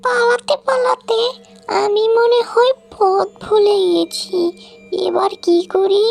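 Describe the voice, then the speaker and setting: A woman voicing a bold, carefree young elder sister in a children's cartoon, with a drawn-out, expressive delivery. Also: very high-pitched, gravelly, soft background music, heavily acted. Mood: lost, pleading.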